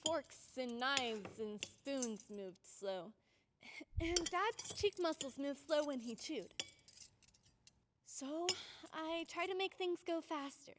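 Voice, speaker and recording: A woman playing an excitable, insistent little girl in an old-time radio drama, with a dramatic, urgent manner. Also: sits high, slow pace, background noise. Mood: wistful recounting.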